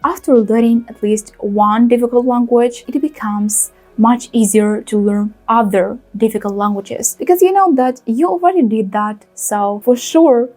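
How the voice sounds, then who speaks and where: A fairly high-voiced young woman, Ukrainian by first language, talks straight to her viewers with direct, opinionated advice and quick asides. Faint background music plays under her voice. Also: a little mellow, rough recording. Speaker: language vlogger. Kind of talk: casual talking-to-camera advice.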